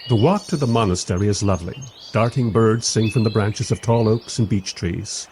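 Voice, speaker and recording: A man's voice: fairly low pitch, normal speed, noisy background, close to an everyday voice. An actor playing a wry, skeptical man who narrates his own adventure in a radio play, with a dry storytelling manner.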